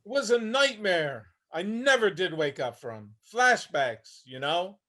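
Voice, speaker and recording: Male actor as weary 73-year-old homeless war veteran, sardonic and blunt, very high-pitched for a man, stage play reading. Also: sing-song, unhurried, pushed and bright, heavily acted. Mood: haunted, weary. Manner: wry, blunt, streetwise. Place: remote video-call reading.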